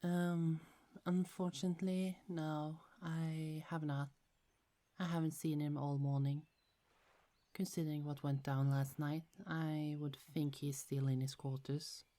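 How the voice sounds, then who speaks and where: A woman voicing a gentle, chatty young goddess in an ASMR roleplay, speaking softly with frequent little laughs. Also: sits low, flat delivery, measured pace. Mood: friendly. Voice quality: soft, half-whispered, giggly.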